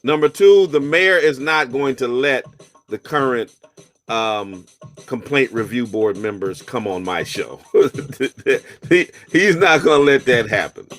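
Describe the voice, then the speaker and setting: Casual male talk radio host, easygoing, middle-pitched voice, faint music bed. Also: lively intonation, a bit bright. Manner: loose, joking, drawn-out sign-offs. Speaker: radio show host and bishop.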